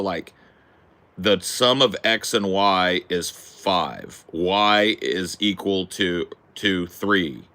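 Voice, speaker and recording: A man with a deep, distinctive voice talking in a casual, rambling way, opinionated and emphatic, swearing freely. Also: sharp tone. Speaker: host.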